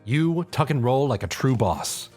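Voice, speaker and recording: A man's voice: medium pitch, lively intonation, measured pace, a little mellow. A man narrating a fan fiction story in a dramatic second-person storytelling style. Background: background music.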